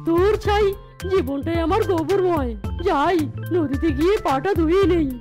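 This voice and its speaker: A woman's voice: very high-pitched, moderate pace, over music. A woman voicing a silly, hapless, cowardly fox in a cartoon. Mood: carefree, grumbling. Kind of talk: Bengali cartoon dialogue.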